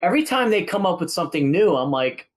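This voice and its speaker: Joking fifty-something podcast host, tosses in quick one-liners. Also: male voice, sits high, very animated. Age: 50s. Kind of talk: casual chat.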